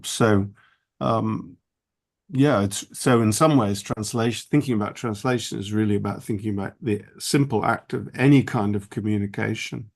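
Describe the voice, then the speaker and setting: A man speaking as an author on a roundtable panel, halting and thoughtful with many fillers and restarts. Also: on the low side. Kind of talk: academic roundtable discussion.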